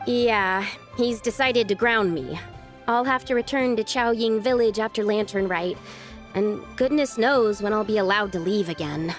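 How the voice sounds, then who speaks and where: A woman's voice: mid-range voice, a little slow, faint music behind, close to an everyday voice. A woman playing an earnest, troubled but determined young woman in a video game, speaking in a confiding, conversational way. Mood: resigned.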